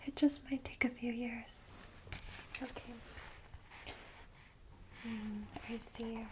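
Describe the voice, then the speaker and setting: ASMR roleplay: woman playing a kindly vampire mentor, whispered and breathy, very even delivery, lightly high, gentle and reassuring. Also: slow, noisy background. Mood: gently official. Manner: gentle, reassuring, hesitant asides. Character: friendly female vampire guide, centuries-old, caring and reassuring.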